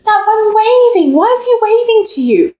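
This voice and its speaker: A woman voicing a hungry, demanding posh darling in a very high, squeaky put-on English accent for an amateur radio play. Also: big pitch swings, moderate pace, dark tone. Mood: demanding, wheedling. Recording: echoey room.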